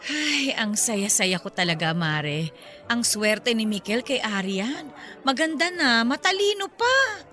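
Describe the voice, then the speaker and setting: High-voiced, gossipy mother. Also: sing-song, slow pace, bright, forceful tone, faint music behind, heavily acted. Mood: delighted. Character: chatty, excitable middle-aged mother, a close girlfriend. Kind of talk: conversational radio drama acting.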